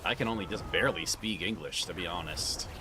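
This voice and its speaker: Brief: laid-back man, gamer streaming live, lowish voice, chatty with viewers, steady hiss of noise behind him. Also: fairly quick. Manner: relaxed, chatty, greets viewers by name and calls them sir. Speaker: livestream gamer. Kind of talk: casual live-stream chat while gaming. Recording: clear background noise.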